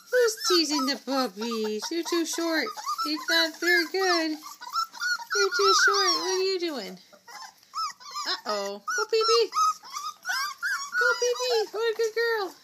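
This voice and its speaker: An extremely high voice coos to puppies in sing-song baby talk. The pitch swoops dramatically and short phrases come very slowly, often repeated. It is a very noisy home recording with puppies whimpering behind. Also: huge pitch swings. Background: whimpering puppies, clicks.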